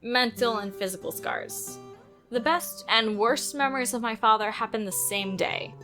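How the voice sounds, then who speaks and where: A woman's voice: fairly high pitch, moderate pace. A woman playing a sardonic, defiant teenage girl archer who narrates and speaks in an audio drama, expressively. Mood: bitter, reflective. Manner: sarcastic, expressive. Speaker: narrator and lead character. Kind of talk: first-person audio drama narration and dialogue. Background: music.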